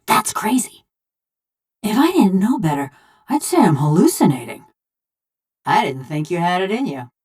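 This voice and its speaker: A woman voicing a wry, vengeful female machine of brass in a video game, with a folksy, drawling American accent. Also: medium pitch. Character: sardonic, vengeful female construct of brass, a killer. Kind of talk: video game character voice lines.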